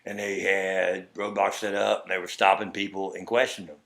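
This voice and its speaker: A middle-aged man with a Texas accent tells his story at length, his voice sitting somewhat low. He rambles and slips into acting out the words of the people in it, in a loose, conversational way. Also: little pitch movement, a little fast, creaky, fairly bright tone. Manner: rambling, acts out quoted dialogue. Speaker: interview guest.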